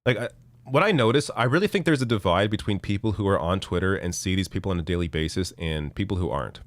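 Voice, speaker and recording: A man in his thirties, hoarse from losing his voice, hosting a casual live political stream with blunt, profane commentary and frequent restarts. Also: sits low, animated, fast talker, no room sound, clean studio sound. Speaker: political show host reading viewer chat.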